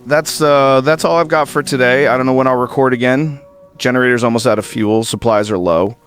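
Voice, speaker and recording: A man's voice: mid-range voice, fairly even intonation, fairly quick, fairly bright tone, clear, resonant tone, natural voice. A man playing a weary, uncertain survivor hosting a makeshift broadcast in an apocalyptic audio drama, hesitant and resigned. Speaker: host.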